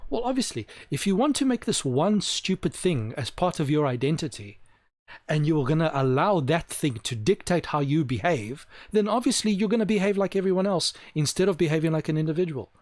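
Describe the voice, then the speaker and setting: A man with a somewhat high voice delivers a forceful solo monologue, repeating his points for emphasis. He slows almost to a crawl on the words he wants to land. The recording is close and dry, with no room sound. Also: expressive, no room sound. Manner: slows down to stress key words. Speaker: video host.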